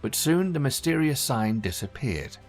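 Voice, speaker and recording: A man reading scripted documentary narration in a measured, formal way. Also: mid-range voice, big pitch swings, soft background music.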